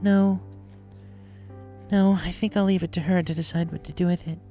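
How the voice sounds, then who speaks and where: A gentle royal alicorn princess, voiced by a woman, set higher than plain narration. She speaks with formal, restrained dignity in a fan fiction reading with music behind. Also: fairly even intonation, normal speed, close to an everyday voice. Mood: regretful, resolute.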